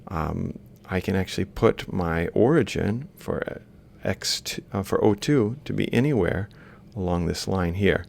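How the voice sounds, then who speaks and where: A man's voice: sits low, animated, measured pace, creaky, hardly any pauses, darkish tone. A man lecturing informally, thinking aloud as he explains step by step while drawing. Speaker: robotics lecturer.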